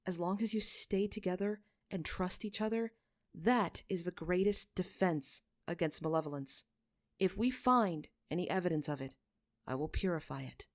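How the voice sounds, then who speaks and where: A woman voicing a gentle young male religious leader in a story, soothing and reassuring. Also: on the low side, normal speed, lightly acted.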